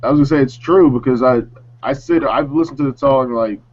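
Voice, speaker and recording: A man's voice: mid-range voice, brisk, a little mellow, dull audio, slight background noise. A man co-hosting a casual music panel, chatting informally. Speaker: co-host.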